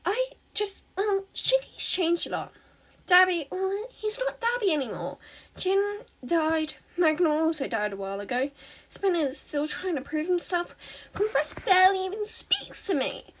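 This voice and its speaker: A woman voicing a bubbly, wistful teenage girl villain in a girlish, excitable way for a fan fiction reading. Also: extremely high pitch, a little slow, pitched above the performer's own voice, heavily acted.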